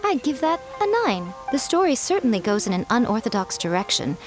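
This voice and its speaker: A woman's voice: on the high side, sing-song, fairly quick, over music. An actress voicing a sly, self-assured fox-spirit woman in a video game, teasing and worldly as she mentors. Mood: approving. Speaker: publisher and mentor.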